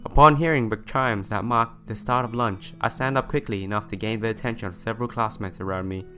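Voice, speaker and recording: A man's voice: lowish voice, brisk, sharp tone. A young man reading visual novel narration aloud as a let's player. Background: music behind the voice.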